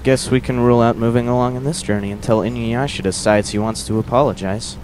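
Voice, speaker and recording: A man's voice: medium pitch, fairly even intonation, fast talker, darkish tone, a little hiss or hum, lightly acted. A man playing a reasonable young monk who is wryly exasperated, in an amateur audio drama. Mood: wry exasperation.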